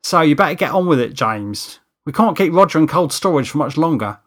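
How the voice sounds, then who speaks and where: Man acting a wry, louder, raised-pitch crewman. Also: lively intonation, a little fast, lightly acted. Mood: weary, urging. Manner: wry, teasing. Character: Steve, wry, teasing, long-suffering film crew man.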